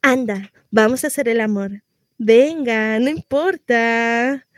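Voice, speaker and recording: A woman's voice: on the high side, takes it slowly, dry recording, studio quality. An actress with a Chilean accent playing a frantic, sardonic pregnant woman in an exclamatory, melodramatic theatrical monologue. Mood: coaxing, mocking. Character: frantic, sardonic pregnant woman going through labour and motherhood.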